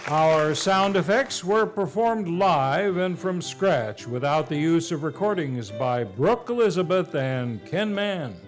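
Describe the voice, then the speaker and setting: A man announces the closing credits of a live radio theater show. His voice is high for a man, and he reads from a script. Faint music plays behind him. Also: animated, takes it slowly, few pauses, some chatter behind.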